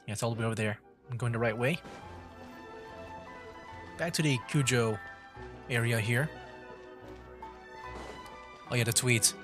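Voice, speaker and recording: A man's voice: medium pitch, measured pace, long pauses. Casual male streamer over game music. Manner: informal, rambling, reacting as he plays. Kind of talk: casual livestream commentary.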